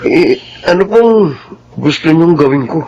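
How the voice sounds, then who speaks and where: A principled family driver in a radio drama. His voice is a little high, and he speaks with measured deference, humble and earnest. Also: lively intonation, darkish tone, slightly rough, lo-fi sound, heavily acted. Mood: shocked, reproachful.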